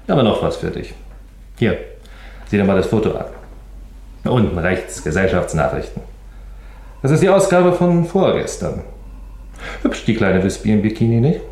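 A persistent, wry reporter in a crime radio drama, heard in an echoey room. He speaks casually but probingly, with animated, wide-ranging intonation. The manner is sly. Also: lowish voice, normal speed, pauses often. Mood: sly, insistent. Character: nosy, wry, persistent male newspaper reporter. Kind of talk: German crime radio play acting.

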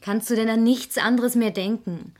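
A breezy, headstrong young sister in love acted by a woman in a seventies radio play. Her voice is on the high side. She is flippant and teasing, with a defiant streak. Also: steady tone, moderate pace, darkish tone, natural voice. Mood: coaxing. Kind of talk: 1970s German radio drama acting.

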